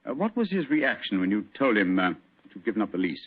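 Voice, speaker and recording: A shrewd British detective, clipped and urbane, his pitch swinging widely through a slightly husky voice. He takes command courteously in an old radio play, heard through a muffled, narrow-band recording. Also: big pitch swings, brisk. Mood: polite, commanding. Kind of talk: old-time radio drama acting.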